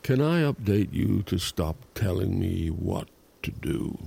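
A man's voice: low voice, lively intonation, unhurried, creaky, dark tone, husky, exaggerated voice. A cranky old man. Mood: grumpy. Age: older. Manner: sarcastic, cranky. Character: grumpy sarcastic old man in care. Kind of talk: audio drama acting.

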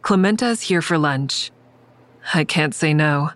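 A woman's voice: low voice, expressive, measured pace, no room sound. An actress playing a guarded, callous woman who narrates her own thriller in a restrained, probing way. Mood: guarded, tense. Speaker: narrator and lead character. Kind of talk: first-person audio drama narration and dialogue.

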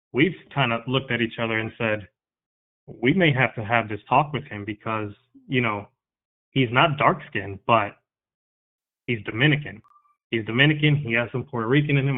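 A man in his thirties from New York, a guest speaking earnestly and haltingly about something that moves him. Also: mid-range voice. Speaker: interview guest. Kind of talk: candid interview talk. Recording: tinny phone-like line.

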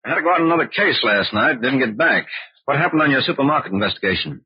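An actor playing a businesslike FBI supervisor questioning an agent in an old-time radio drama. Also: male voice, mid-range voice, lively intonation, racing, slightly rough, no echo. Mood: businesslike, inquiring. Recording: dull, band-limited old radio recording.

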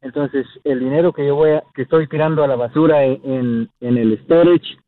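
A man's voice: medium pitch, steady tone, normal speed. A man in his early thirties with a Guatemalan accent, calling in and speaking casually and hesitantly. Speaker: caller. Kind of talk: casual phone conversation. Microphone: phone call. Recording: tinny narrow phone line.

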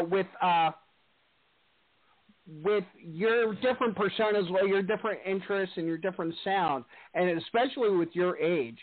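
A man hosting a casual interview with a band, who rambles and restarts his questions. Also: sits high. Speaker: interview host. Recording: tinny phone-like sound.

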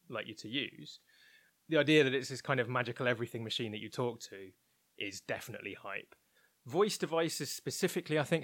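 A man with a mid-pitched voice speaks in a dry, close recording. He talks informally as an interview guest, candidly working through his opinions out loud. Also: no room sound. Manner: informal, candid, thinking aloud. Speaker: interview guest, technology researcher at a broadcaster. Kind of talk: conversational interview, explaining opinions.

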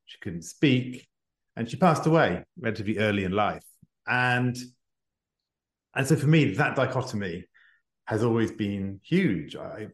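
A man's voice: fairly low pitch, roomy sound. A man, a guest author, speaking earnestly and reflectively in an interview. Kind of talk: podcast interview.

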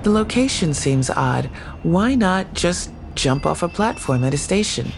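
A man's voice: high-pitched, sing-song, a little slow, creaky, background noise, heavily acted. An actor playing a persistent male detective who keeps questioning a case. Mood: probing. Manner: questioning, insistent.

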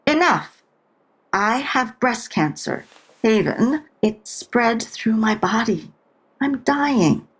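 A woman's voice: a little high, animated, husky, full character voice. A woman playing a blunt, stubborn older mother who is dying and estranged, in an audio drama. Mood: blunt, weary. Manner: blunt, deliberate.

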